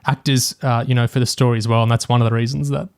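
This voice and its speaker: A man's voice: medium pitch, level tone, fast talker, darkish tone, smooth, dry recording. Man in dry, close-sounding casual discussion. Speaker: podcast co-host.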